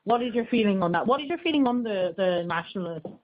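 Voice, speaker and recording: An Irish woman hosts an opinionated radio interview in a medium-pitched voice. She hammers her points home with emphasis. The audio is a little muffled and lo-fi. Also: animated, quick pace. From Ireland. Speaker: radio show host, interviewer. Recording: slightly dull, lo-fi radio audio.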